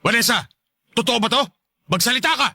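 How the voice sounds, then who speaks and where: Wronged father in radio melodrama: commanding, strained high pitch, rapid. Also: animated, pushed and bright, dry recording, studio quality, heavily acted. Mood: betrayed, furious. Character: stern, betrayed middle-aged father and husband. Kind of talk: melodramatic Tagalog radio drama acting.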